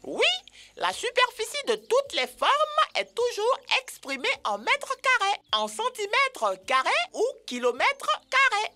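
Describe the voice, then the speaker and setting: A woman voicing an excitable motherly bird teacher in a French children's cartoon, exclamatory and sing-song with drawn-out words. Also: extremely high pitch, faint music behind, exaggerated voice. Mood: instructive.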